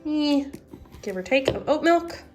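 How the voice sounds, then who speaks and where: Raspy-voiced young woman, sick and hoarse. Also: high-pitched, lively intonation, a little slow, a little mellow, faint music behind. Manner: chatty and upbeat. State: hoarse from illness. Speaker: vlogger. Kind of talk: casual recipe vlog. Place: living room at home, indoors.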